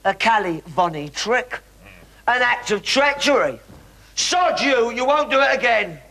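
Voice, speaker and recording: An actor playing an agitated, insolent young delinquent in a stage play. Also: male voice, very high-pitched, sing-song, unhurried, vocal fry, pushed and bright, full character voice. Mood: defiant, agitated. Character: defiant, agitated young male delinquent under restraint.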